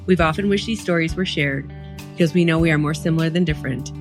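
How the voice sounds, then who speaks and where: A woman co-hosting a podcast as a couples therapist, reading a scripted intro in a smooth, measured way. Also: low-pitched. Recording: voice over guitar music bed.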